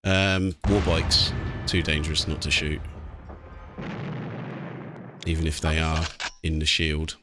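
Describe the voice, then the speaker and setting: A man's voice: very deep voice, fairly even intonation, slow pace, pauses often, noisy background. A British man giving casual, chatty let's play commentary on a strategy game, thinking aloud as he goes. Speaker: gaming channel host. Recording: game music and battle sounds behind. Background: video game music, artillery and vehicle sounds.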